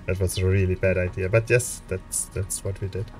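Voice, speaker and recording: Low-voiced German man, halting and relaxed. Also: recorded from a distance, faint music behind. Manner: hesitant, many fillers and restarts. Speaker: let's play streamer. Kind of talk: relaxed gameplay commentary with chat.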